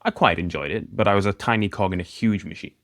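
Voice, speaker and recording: A man in his thirties from Northeast Scotland, with a mixed mid-Atlantic accent with a light Scottish edge, talking casually as a podcast guest, self-deprecating and quick to laugh. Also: low voice, a bit bright, no room sound, clean studio sound. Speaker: guest mentor.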